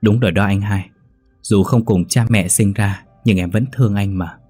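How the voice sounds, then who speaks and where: A man voicing a gentle young woman who consoles her brother softly. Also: lowish voice, steady tone, moderate pace, slightly rough, soft background music. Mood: consoling.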